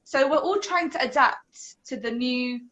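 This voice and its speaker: A woman's voice: high-pitched, a little slow, fairly bright tone. A young woman from London, an English teacher answering interview questions over a video call, upbeat and fluent. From London, England. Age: young adult.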